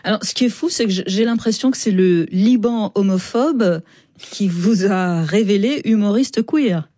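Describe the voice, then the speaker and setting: A woman hosts a radio interview with a mellow, warm voice. Her questions are measured and curious. The sound is dry and close, with no room echo. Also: medium pitch. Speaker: radio host.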